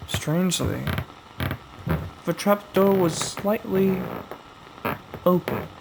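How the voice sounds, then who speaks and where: A man tells a horror story at an extremely slow pace, in a fairly high voice with a gravelly creak. His delivery is dramatic and full of suspenseful pauses, like a storyteller reading from a script. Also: background noise.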